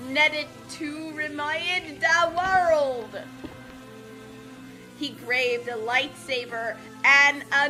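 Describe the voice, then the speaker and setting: Female story reader, high-pitched and unhurried, playful dramatic delivery with chatty asides. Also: poor audio. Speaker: reader and co-host of a group story reading. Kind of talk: dramatic read-aloud of a badly written fan story, with casual asides.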